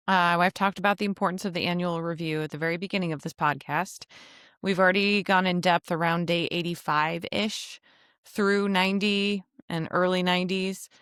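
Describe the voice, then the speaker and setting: A woman's voice: on the low side, fairly bright tone, clear, resonant tone, dry recording. A woman hosting a casual solo podcast, chatty and joking with frequent laughs, speaking close to the mic. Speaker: podcast host and travel agency owner.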